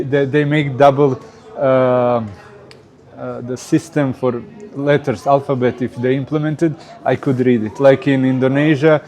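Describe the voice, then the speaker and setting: A man's voice: medium pitch, a little mellow. A man from Croatia speaking English with a Croatian accent as an interview guest, hesitant with many fillers. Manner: hesitant, many uh fillers, non-native phrasing. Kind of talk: casual interview answers.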